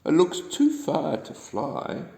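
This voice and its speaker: A man's voice: fairly high pitch, wildly swooping pitch, slow, vocal fry, dark tone, a little hiss or hum, pitched above the performer's own voice, heavily acted. A man with a New Zealand accent voicing a small, polite, anxious female duck in a children's storybook. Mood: worried. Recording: echoey room with long reverb.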